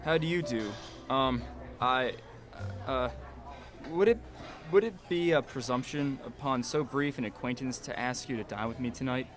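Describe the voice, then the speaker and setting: A man's voice: mid-range voice, normal speed, music in the background, natural voice. An actor playing a wistful, proud middle-aged father in a radio drama, articulate, wry and formal. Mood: flustered, apologetic. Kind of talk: radio drama acting, letter narration.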